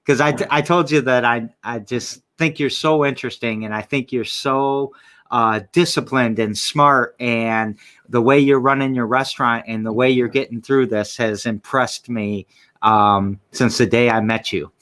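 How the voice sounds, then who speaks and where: A man's voice: mid-range voice, fairly even intonation, unhurried, fairly bright tone. A man hosting a casual interview, asking friendly, encouraging questions. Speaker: interview host.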